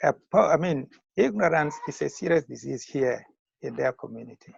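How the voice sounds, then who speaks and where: An older man in his sixties with a Ugandan accent, giving measured, formal interview answers as a school founder. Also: fairly high pitch, expressive, vocal fry, husky, no echo. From northern Uganda. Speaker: school founder and retired teacher. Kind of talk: interview answers on a video call. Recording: muffled lo-fi call audio.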